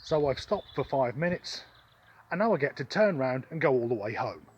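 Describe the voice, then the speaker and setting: Sardonic man grumbling over loud car noise. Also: medium pitch, very animated, moderate pace, lo-fi sound. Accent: generic southern English. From Norwich, England. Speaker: vlogger. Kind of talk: rambling commentary while driving. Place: inside a car on the road. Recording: distant mic under loud road noise.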